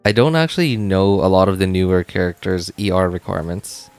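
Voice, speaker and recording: A man's voice: low-pitched, a little fast, a little mellow, clear, resonant tone, no room sound, soft background music. A man chatting casually on a game livestream, thinking aloud in a rambling way and often repeating himself. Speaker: game streamer. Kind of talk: casual livestream chat while playing.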